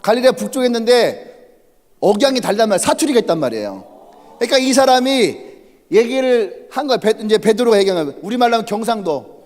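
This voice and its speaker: Animated pastor with a Chungcheong accent. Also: male voice, extremely high pitch, quick pace. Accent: Chungcheong Korean. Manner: animated, humorous storytelling, asks the congregation questions. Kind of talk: sermon. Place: church sanctuary. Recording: reverberant church sanctuary.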